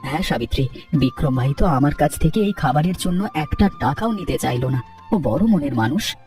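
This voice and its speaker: A man's voice: a little high, wildly swooping pitch, a little fast, darkish tone. A man voicing a humble, earnest and grateful poor rickshaw puller in a cartoon. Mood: affectionate, proud. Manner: earnest, deferential. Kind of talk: dubbed cartoon dialogue. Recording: distant-sounding, music underneath.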